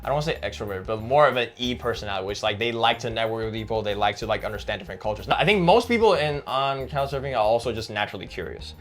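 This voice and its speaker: A young man from Taiwan, talking as an interview guest in a relaxed, chatty way full of "like" fillers. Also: on the low side, very fast, hardly any pauses, faint music behind. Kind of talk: casual interview chat.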